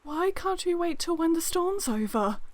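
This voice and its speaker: A woman's voice: very high-pitched, lively intonation, normal speed, no echo. A woman voicing a timid, nervous young female monk in a fantasy audio drama, speaking hesitantly and with stammers. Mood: reluctant.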